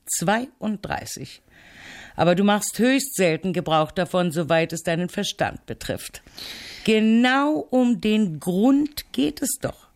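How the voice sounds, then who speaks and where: An actress playing a headstrong, sardonic middle-aged crime novelist in a radio play, assertive, dry and teasing. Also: female voice, mid-range voice, lively intonation, slow pace, darkish tone. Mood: imperious, sardonic. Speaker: radio drama actor. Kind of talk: German radio play dialogue.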